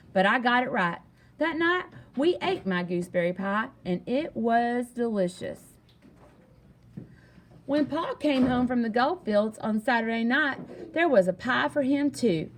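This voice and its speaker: A woman reading a children's story aloud in an animated, expressive way with emphatic delivery. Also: fairly high pitch, a little slow, a little hiss or hum. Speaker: storybook reader.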